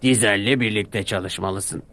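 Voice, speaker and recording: A bossy railway controller from a children's cartoon, voiced by a male narrator. He is a stout, stern man who hands out orders in a measured, commanding tone, over light music. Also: on the low side, fairly bright tone.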